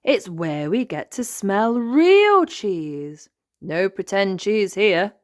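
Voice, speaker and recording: A woman's voice: medium pitch, big pitch swings, slow pace, pitched above the performer's own voice. A woman voicing a proud, jolly old grandfather rabbit in a storybook, with a hearty showman's delivery.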